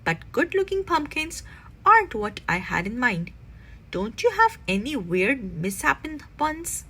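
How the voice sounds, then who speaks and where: A woman voicing a boisterous, excitable father bear in a storybook with an emphatic, hammy delivery. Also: sits high, a little slow, exaggerated voice. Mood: eager.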